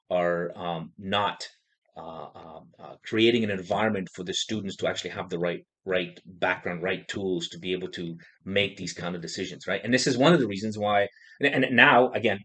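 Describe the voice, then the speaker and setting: A man with a low, husky voice hosts a relaxed podcast discussion. He rambles through his points with frequent restarts and keeps checking in with "right?". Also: moderate pace. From Poland. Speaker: podcast host. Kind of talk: casual discussion.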